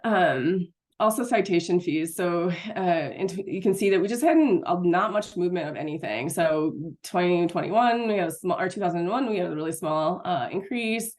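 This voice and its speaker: A woman with a lowish, raspy voice delivers a webinar presentation while recovering from an illness. She speaks haltingly, full of ums and mid-sentence corrections as she explains her work. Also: darkish tone. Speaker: city parking program manager presenting.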